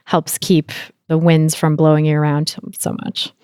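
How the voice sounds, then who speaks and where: A woman hosting an interview podcast, with a notably low voice and a calm, affirming manner. She responds warmly to her guest's points before asking the next question. The recording is close and dry. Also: normal speed, clean tone, no room sound. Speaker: podcast host. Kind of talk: conversational interviewing.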